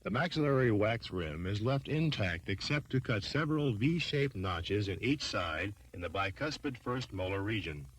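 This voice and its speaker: A man reading scripted training film narration in a formal, measured, instructional manner. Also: on the low side, poor audio.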